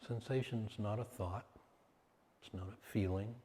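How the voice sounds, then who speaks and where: Very deep, slow, mellow male meditation guide. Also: steady tone, a little hiss or hum. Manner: unhurried, soothing, with long pauses between short phrases. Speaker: meditation teacher. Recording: hall-like long reverb.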